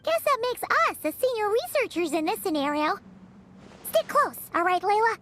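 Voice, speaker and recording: A woman voicing a small, cheerful fairy companion in a video game, with a squeaky childlike voice and bubbly manner. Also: very animated, normal speed, a bit bright, slight background noise, heavily acted. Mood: curious, confident. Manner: refers to self in third person, bubbly.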